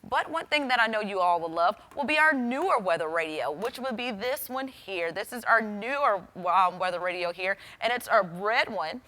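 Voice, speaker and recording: A woman's voice: medium pitch, animated, moderate pace, hardly any pauses, bright, forceful tone. A woman weather forecaster presenting an explanatory how-to product demonstration, speaking directly to the viewer. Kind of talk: instructional product demonstration to camera.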